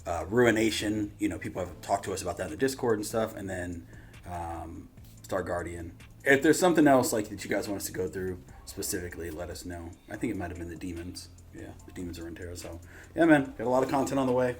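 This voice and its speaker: Low-voiced man chatting casually as host. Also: animated, fast talker. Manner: informal, rambling, slangy. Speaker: YouTube channel host. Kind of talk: casual reaction channel chat.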